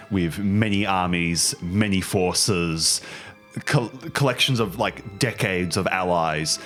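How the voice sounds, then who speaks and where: A man's voice: sits low, a bit bright. A man narrating a tabletop roleplaying game as its game master. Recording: faint music behind. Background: faint background music.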